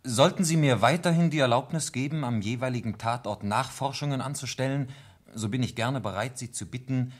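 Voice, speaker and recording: An actor playing a composed, tactful police lieutenant in a German radio play, speaking formally and with measure. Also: male voice, medium pitch, fairly quick, close to an everyday voice. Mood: formal, reading aloud.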